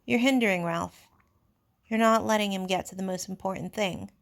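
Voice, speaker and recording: A woman's voice: medium pitch, moderate pace, vocal fry, close to an everyday voice. A woman voicing an anxious, bookish schoolboy in a storybook, scolding and pleading with emphasis. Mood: indignant. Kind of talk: storybook character voice.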